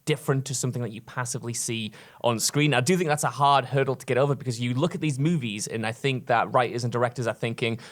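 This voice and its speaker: A man's voice: medium pitch, fast, hardly any pauses. Man chatting as podcast co-host. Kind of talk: casual panel discussion.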